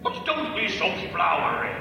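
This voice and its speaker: A man's voice: sits high, sing-song, normal speed, noisy background. An actor playing a frightened, fretful old miser in a radio drama, stammering and repeating himself as he pleads. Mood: placating, flustered. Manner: stammering, repetitive, quavering pleas. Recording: echoey hall from far off, crowd sounds.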